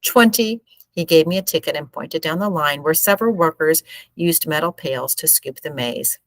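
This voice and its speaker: A low-voiced woman reads a story aloud in a measured, expressive narrating style. The sound is close and dry, with very little room around it. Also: no echo, low-quality recording. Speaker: teacher reading a book aloud.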